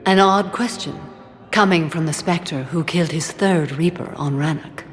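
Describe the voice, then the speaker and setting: A woman voices an alien warrior-monk in a video game. Her voice sits low, composed and solemn, with formal wording and serene restraint. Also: moderate pace, soft background music, close to an everyday voice. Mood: stoic. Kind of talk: dramatic video game acting.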